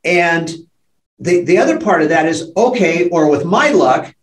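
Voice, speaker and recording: Man, late sixties, podcast co-host, candid, halting with restarts, fairly high voice, roomy echo. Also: lively intonation. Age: late 60s. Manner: halting, full of restarts and frank swearing. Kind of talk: candid conversational podcast talk.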